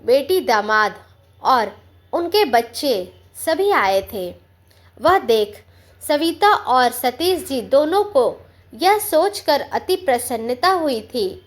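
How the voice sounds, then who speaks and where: A woman with a high voice narrates a sentimental family story. She reads at a slow pace, with measured, deliberate phrasing, as a storyteller working from a script.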